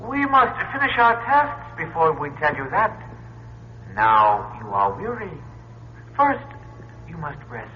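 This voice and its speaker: An actor playing a cold, commanding alien overseer in a radio drama, speaking formally and imperiously. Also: male voice, a little high, big pitch swings, takes it slowly, pauses often, heavily acted. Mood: coldly commanding. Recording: muffled, husky-edged old radio recording.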